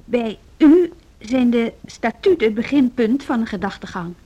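Strong-willed deputy, higher-pitched voice. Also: female voice, animated, normal speed, mellow, slightly rough, highs cut off. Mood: pointed, resolute. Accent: Netherlands Dutch. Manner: formal, articulate, pointed. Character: strong-willed, principled female music school deputy. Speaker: radio drama actor. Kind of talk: Dutch radio play dialogue.

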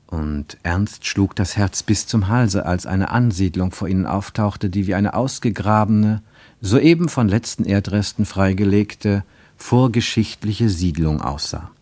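A man narrating a radio play in a measured, literary storytelling manner. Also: low voice, darkish tone, no echo.

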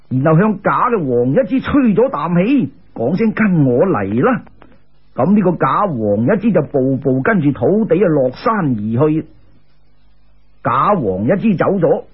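Cantonese-speaking male folk-tale narrator: raspy, lively intonation, colloquial and dramatic, on a thin radio-like recording. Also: sits high. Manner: animated, colloquial, emphatic. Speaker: storyteller. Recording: muffled lo-fi radio-style recording.

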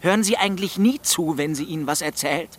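A man's voice: fairly high pitch, fairly quick, pitched above the performer's own voice, natural voice. A man voicing a bitter, defiant teenage ex-boyfriend in an audiobook, blunt and sarcastic, with faint noise behind. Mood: irritated.

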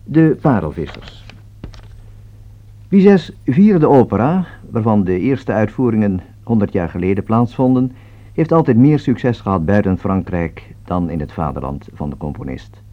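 Man, Netherlands Dutch accent, low-pitched, lively melodic reading, formal radio presenter, measured diction, muffled vintage radio recording. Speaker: radio announcer. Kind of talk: formal scripted opera introduction on radio. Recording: dull, band-limited old radio recording.

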